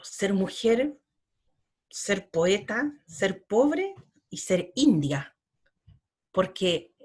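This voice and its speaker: A middle-aged woman from Chile speaks slowly and earnestly as a poet answering interview questions. She often circles back and repeats words as she gathers her thoughts. Her voice has a breathy, husky edge and sits at an ordinary pitch. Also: a little mellow. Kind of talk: reflective interview talk.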